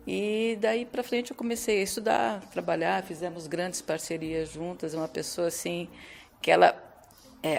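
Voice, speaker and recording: A woman's voice: lowish voice, a little hiss or hum. A woman who teaches cooking, introducing herself to a group and speaking gratefully about a friend. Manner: grateful, warm-hearted tribute. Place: meeting room with a group.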